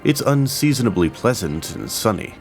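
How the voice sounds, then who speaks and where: Deep, expressive male storyteller. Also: moderate pace, faint music behind. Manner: expressive, dramatic pacing. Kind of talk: scripted narration of an audio drama.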